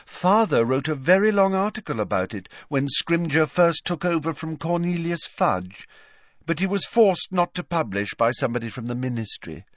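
Man doing a serene, high-voiced teenage witch girl. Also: big pitch swings, normal speed, no room sound, clean studio sound. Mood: matter-of-fact. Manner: matter-of-fact, untroubled.

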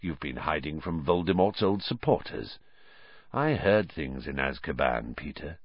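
A man's voice: very deep voice, expressive, no room sound, dull audio, pitched below the performer's own voice, exaggerated voice. A man reading an audiobook story, voicing a bitter, vengeful male fugitive with a growling, hissing, contemptuous delivery. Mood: menacing. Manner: slow, taunting, contemptuous.

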